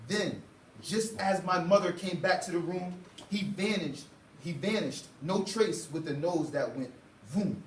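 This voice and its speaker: A young man reading a children's story aloud to a class with expressive, dramatic phrasing, as a student teacher. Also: sits high, unhurried. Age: young adult. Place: indoor school classroom. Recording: roomy, distant mic, faint noise.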